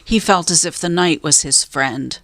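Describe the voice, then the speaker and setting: A woman reading a children's story aloud as a narrator, in a measured, deliberate way with drawn-out emphasis. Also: low voice, a bit bright, no echo. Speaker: storybook reader.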